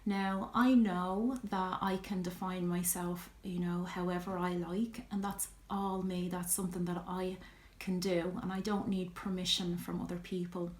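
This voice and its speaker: Irish woman, middle-aged, lowish even voice, earnest, echoey room. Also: a little slow. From Ireland. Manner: reflective, earnest, many ums and you-knows. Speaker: vlogger. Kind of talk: personal Q&A vlog.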